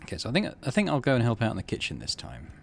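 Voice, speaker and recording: A man's voice: medium pitch, huge pitch swings, racing, creaky, slight background noise. A man doing casual game commentary, thinking aloud and reading game text out as the host of a gaming channel.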